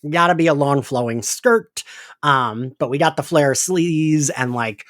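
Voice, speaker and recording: A man's voice: on the high side, unhurried, fairly bright tone, dry recording, clean studio sound. A man hosting a podcast, steering a casual fan discussion and thinking aloud in an informal way. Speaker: podcast host leading the discussion.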